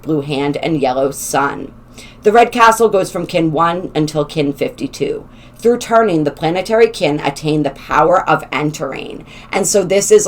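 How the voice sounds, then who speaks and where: A woman hosting a spiritual channel, her voice slightly raspy, talking in a gentle, flowing way with some halting restarts. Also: deep voice. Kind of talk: casual spoken explainer, partly read aloud.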